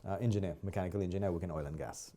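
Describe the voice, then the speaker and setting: A man's voice: sits low, racing, dark tone. A middle-aged man with a Trinidadian accent, a podcast guest talking politely and unhurriedly, with frequent ums. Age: 40s or 50s. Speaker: guest, martial arts instructor. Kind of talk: casual interview.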